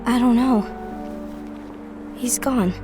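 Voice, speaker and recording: A woman's voice: a little high, slow pace, over music. A woman voicing an earnest, protective young leader, dutiful and anxious, in a game cutscene. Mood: subdued, troubled. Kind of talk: English-dubbed game cutscene acting.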